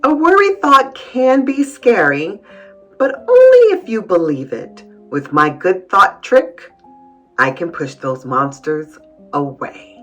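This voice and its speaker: A woman's voice: mid-range voice, sing-song, slow pace, a bit bright, faint music behind, heavily acted. A woman voicing an earnest, worried young boy who ponders his thoughts in a storybook read-aloud. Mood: reassured.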